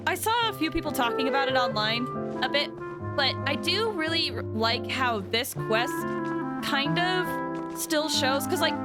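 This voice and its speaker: A woman livestreaming a game with casual, excitable, rambling commentary while chatting to viewers, game music behind her. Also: sits high. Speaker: game streamer.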